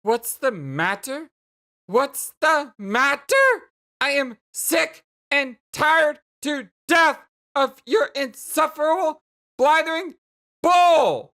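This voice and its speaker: A woman's voice: mid-range voice, very animated. A woman voicing an exasperated, hot-tempered young woman in a dramatic dialogue, stretching her words out emphatically. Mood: furious. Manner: emphatic, drawn-out, shouting outbursts.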